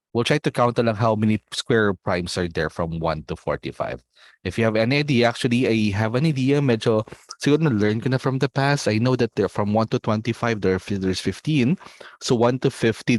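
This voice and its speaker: A man's voice: lowish voice, no room sound. A man with a Filipino accent teaching a math olympiad class online, explaining step by step and often checking whether students follow. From Philippines.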